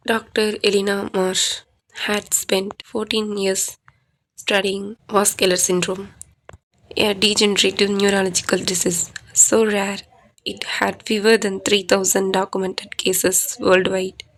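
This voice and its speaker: Even-toned woman narrating horror. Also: medium pitch, unhurried, low-quality recording. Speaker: horror podcast storyteller. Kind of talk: scripted horror story narration.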